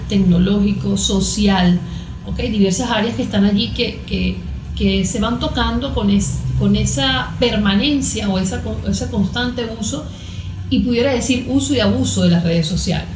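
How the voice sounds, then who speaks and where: A woman's voice: fairly high pitch, background noise. A Venezuelan woman, a therapist being interviewed, explaining things in an earnest, didactic way, point by point. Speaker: therapist and coach, interview guest. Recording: roomy echo.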